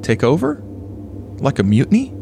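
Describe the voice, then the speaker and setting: A puppyish, lovestruck young man, played by a male actor, in an audio drama. He speaks with lively, naive earnestness, over noticeable background noise. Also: medium pitch, normal speed, creaky. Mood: lovestruck, earnest. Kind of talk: audio play acting.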